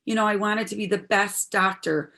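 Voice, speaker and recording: A woman performs a medical student daughter in her twenties in a stage play. Her voice sits at a middle pitch. She speaks earnestly and pleadingly, as someone troubled who is confiding in a parent. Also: steady tone, moderate pace, creaky, pushed and bright. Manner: pleading, heartfelt.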